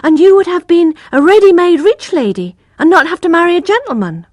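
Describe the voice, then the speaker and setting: A woman voicing an eager, curious young boy who asks high, excited questions. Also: a little fast, dry recording.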